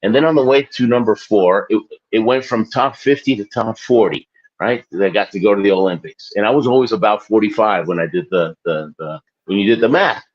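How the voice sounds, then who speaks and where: A man's voice: on the low side, brisk, dull audio. A man in his forties, a guest in an interview, talking casually in a rambling, self-deprecating way and laughing often. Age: 40s. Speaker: guest, Olympic athlete and author.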